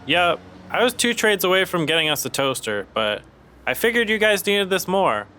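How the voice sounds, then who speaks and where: A man's voice: high voice, sing-song, normal speed, sharp tone, full character voice. A man playing a mild earnest oddball. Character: quirky earnest young man who trades things.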